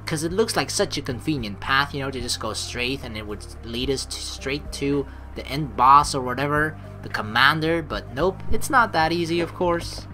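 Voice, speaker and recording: A woman's voice: extremely low pitch, expressive, normal speed, few pauses, bright, forceful tone. A woman playing through a game, reading the story aloud and making casual, swearing asides. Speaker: let's play streamer. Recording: game music behind.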